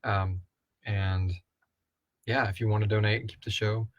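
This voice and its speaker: Low-voiced man chatting casually, dry close sound. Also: flat delivery, a little slow, no room sound, muffled recording. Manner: rambling, informal, jokey, mildly sweary. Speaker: co-host and producer of an audio drama podcast. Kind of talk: casual live Q&A chat with listener questions read aloud.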